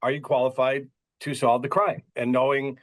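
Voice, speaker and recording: A man's voice: medium pitch, flat delivery, moderate pace. A man hosting a podcast, asking long rambling questions with stammers and light jokes. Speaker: podcast host. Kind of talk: casual interview questions.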